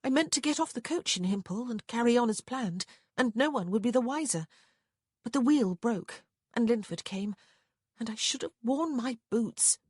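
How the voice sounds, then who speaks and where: A woman voicing a frank, stubborn young gentlewoman in a period romance audiobook, earnest and confessional. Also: fairly high pitch, animated, moderate pace, slightly rough, dry recording, clean studio sound, pitched above the performer's own voice.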